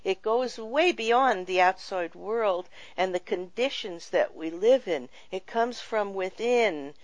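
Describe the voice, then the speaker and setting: A woman hosting a spiritual talk show, speaking in a gentle, reflective and earnest way. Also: mid-range voice, slow pace, no room sound. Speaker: show host.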